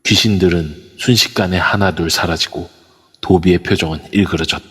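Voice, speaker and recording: A man narrating an amateur audio drama in a steady storytelling manner. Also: low-pitched, moderate pace, breathy edge. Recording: long reverb over a voice app.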